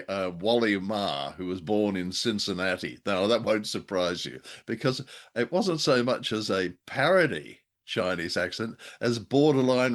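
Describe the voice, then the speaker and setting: A man's voice: fairly low pitch, fairly bright tone. A middle-aged or older man co-hosting a casual review chat, with a wry, droll, rambling way of talking. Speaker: co-host of a radio drama review show.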